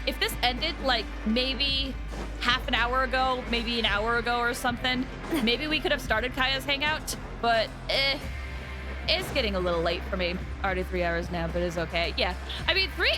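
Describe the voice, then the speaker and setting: A woman chatting casually to viewers as she hosts a game livestream, in an animated, chatty manner, sounding a little tired. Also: fairly high pitch. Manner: animated, chatty, with excited exclamations. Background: background music.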